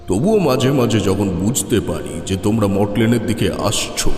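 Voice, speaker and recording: Guy doing old boastful tantric sage in horror audio drama, weighty low voice, lots of reverb. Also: expressive, darkish tone, close to an everyday voice. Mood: sly boasting. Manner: slow, deliberate, weighty. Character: grave, boastful, mystical older male tantric sage. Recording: echoey long reverb, music underneath.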